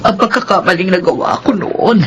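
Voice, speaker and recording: A woman's voice: mid-range voice, fairly quick, natural voice. A woman playing a stern but caring middle-aged Filipino mother with a painful past, in an earnest radio drama style. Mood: sorrowful. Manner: emotional, earnest, admonishing. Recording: music under the voice.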